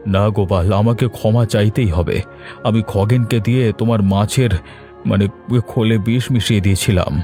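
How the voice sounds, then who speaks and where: An actor playing a remorseful, ailing older village landlord in a moral-tale cartoon, speaking haltingly and earnestly. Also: male voice, on the low side, steady tone, mellow, soft background music, natural voice.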